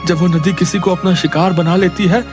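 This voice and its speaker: A man's voice: sits high, fairly even intonation, fairly quick, lightly acted. A man voicing a frightened village man in a cartoon story, confiding anxiously to a friend. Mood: uneasy. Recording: background music.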